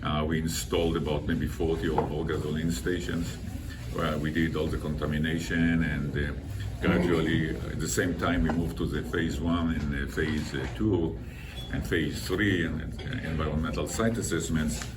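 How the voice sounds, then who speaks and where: Older man, Israeli accent, low-pitched, halting informal talk, distant mic, loud background noise. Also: steady tone. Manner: halting, explanatory. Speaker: guest speaker, environmental consultant. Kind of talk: informal presentation.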